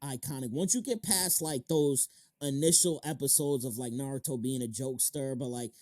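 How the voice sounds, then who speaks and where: A man in his thirties with an American accent, hosting a casual interview and telling stories in animated, slangy talk. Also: medium pitch, normal speed, no room sound, clean studio sound. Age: 30s. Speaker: interviewer and channel host.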